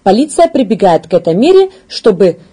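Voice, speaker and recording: A woman in her thirties reads scripted news as a presenter. Her voice is soft-edged and husky, with a dark, relaxed tone. Her pitch moves up and down in a very lively way as she stresses key words. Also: brisk. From Ukraine. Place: small indoor video studio.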